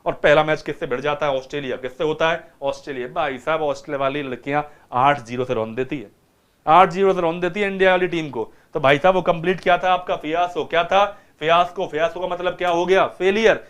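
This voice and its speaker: A man's voice: fairly high pitch, fast, fairly bright tone, clean tone. A man teaching vocabulary to students by retelling a film, keeps asking them rhetorical questions.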